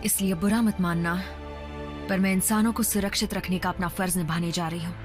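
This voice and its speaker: A woman's voice: on the low side, fairly even intonation, brisk, music in the background, lightly acted. A woman playing a tough, independent robot soldier in a dubbed action cartoon, speaking bluntly and firmly. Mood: bitter, resolute. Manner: blunt, firm. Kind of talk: dubbed animated action drama. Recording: loud film score under the voice.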